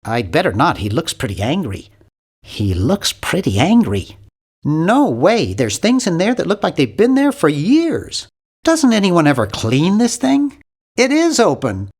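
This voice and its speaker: A bookish young nerd from an adventure game, timid and polite. His nasal voice swings widely up and down in pitch, and he sounds awkward, fussy and earnest in every line. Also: wildly swooping pitch, a little slow, breathy edge, exaggerated voice. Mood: hesitant, observant. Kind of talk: video game character voice lines.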